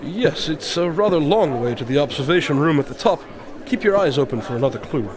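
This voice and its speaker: A man's voice: a little high, expressive, fairly quick, crowd noise, natural voice. A man playing an eccentric, observant detective in an audio drama, speaking in a precise, formal, absent-minded way. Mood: composed.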